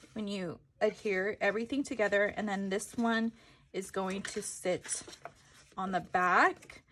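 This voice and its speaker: A woman's voice: medium pitch, a bit bright, a little hiss or hum. A woman giving a casual step-by-step craft tutorial, informal, correcting herself and thinking aloud as she goes.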